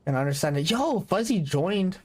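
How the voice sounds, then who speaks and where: A man's voice: high voice, expressive, normal speed, a little mellow, breathy edge. A young adult man streaming a game in casual chat, joking about himself and laughing often. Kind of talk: casual live-stream chat while gaming.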